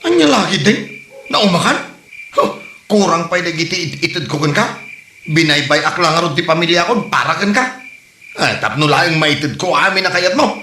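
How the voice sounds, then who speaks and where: Man acting flustered, evasive husband, bright tense voice. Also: fairly high pitch, animated, moderate pace, a little hiss or hum. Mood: exasperated. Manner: hesitant, stammering, defensive. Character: Roger, evasive flustered cheating husband and father. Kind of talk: Ilocano comedy audio drama acting. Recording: roomy, music underneath.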